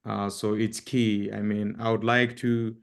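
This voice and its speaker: Male guest speaker, Zambian accent. Deep-ish, creaky voice, halting informal presentation style. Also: little pitch movement. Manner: halting, with repeated words and frequent pauses. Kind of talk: informal presentation with personal introduction.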